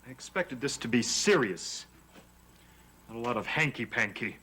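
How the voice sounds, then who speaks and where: A man's voice: mid-range voice, lively intonation, moderate pace, bright, forceful tone. An actor playing a skeptical, sarcastic young man in a 1960s soap opera. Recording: old TV soundtrack with faint music.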